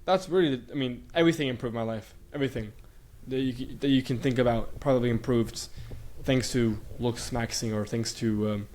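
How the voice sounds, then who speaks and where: A man's voice: medium pitch, fairly even intonation, fairly quick, hardly any pauses, darkish tone. A young man from Bulgaria who grew up in Sweden, talking as a guest in a casual interview, rambling with many fillers and restarts. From Bulgaria, raised in Sweden.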